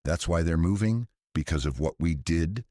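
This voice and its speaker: A man voicing an earnest, guarded researcher, a woman in her mid-fifties, speaking quietly and seriously in an audio drama. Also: low-pitched, expressive, moderate pace, no echo, studio quality. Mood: quiet, probing.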